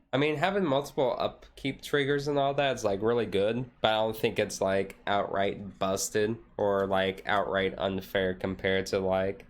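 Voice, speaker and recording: A man streamer chatting casually in a relaxed, rambling way on a chill hobby stream. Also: fairly low pitch.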